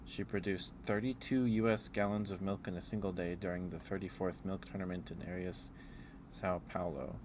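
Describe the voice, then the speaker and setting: A man streaming a casual, rambling ASMR chat, speaking in a halting, musing way as if thinking aloud. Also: low-pitched, steady tone, background noise. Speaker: livestreamer.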